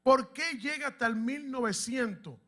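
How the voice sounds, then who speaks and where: A male preacher with a notably high-pitched voice. He teaches emphatically from the pulpit and keeps asking his congregation questions as he goes. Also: normal speed, fairly bright tone. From Dominican Republic and Puerto Rico. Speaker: pastor.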